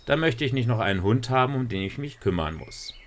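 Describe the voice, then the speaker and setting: A man's voice: mid-range voice, lively intonation, fairly quick, pitched above the performer's own voice, close to an everyday voice. A man voicing a strict, overworked mother in a children's story, firm and scolding. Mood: stern. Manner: firm, scolding, matter-of-fact. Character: stern busy mother, weary and strict.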